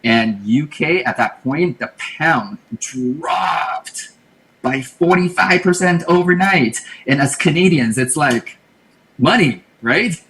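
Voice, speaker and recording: A man's voice: on the high side, lively intonation, slow pace, fairly bright tone, rough recording. A man from Taiwan, a podcast guest, explaining his plans in a thoughtful, casual way and often repeating words as he thinks. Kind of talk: casual interview talk.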